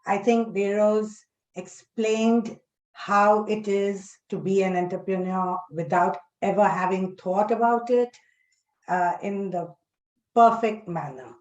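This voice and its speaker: A woman introducing herself as a panelist, speaking in measured short phrases with pauses. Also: medium pitch, steady tone, a little mellow, highs cut off. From Karachi, Pakistan. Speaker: panelist, recipe curator. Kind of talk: personal introduction at a panel talk. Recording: echoey room sound.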